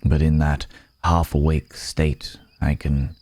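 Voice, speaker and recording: Man playing a very deep, weary werewolf. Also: level tone, darkish tone. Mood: pensive, explaining. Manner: halting, grave, pensive. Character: weary, remorseful male werewolf in human form. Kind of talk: slow, intimate ASMR roleplay.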